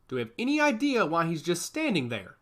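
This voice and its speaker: Cocky brawler, defiant, swaggering, bright tone, man narrating. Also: fairly high pitch, huge pitch swings, fairly quick. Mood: brisk. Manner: confident, defiant. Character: brash cocky male augmented fighter.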